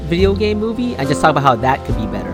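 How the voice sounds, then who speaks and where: A man's voice: fairly high pitch, sing-song, very fast. A man chatting as a podcast panelist in casual banter. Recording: music behind the voice.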